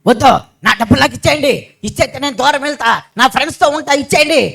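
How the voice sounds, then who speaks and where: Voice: high voice, very fast, gravelly, fairly bright tone. A performer voicing a spoiled, impatient young son in a children's puppet show, whining and insisting on what is wanted. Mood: demanding, impatient. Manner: whiny, insistent, repetitive demanding.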